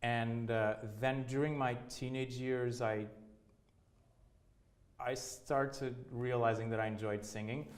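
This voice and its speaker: Hesitant Portuguese-accented man, low voice. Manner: hesitant, many restarts and repeated words. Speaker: opera singer, interviewee. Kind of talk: casual interview answers.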